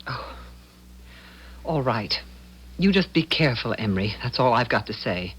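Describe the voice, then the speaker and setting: Dull hissy old radio tape, anxious loyal doctors wife, expressive swooping voice. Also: female voice, very deep voice, normal speed, husky, exaggerated voice. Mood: worried, warning. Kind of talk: 1970s radio drama acting. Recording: muffled, noisy old radio broadcast.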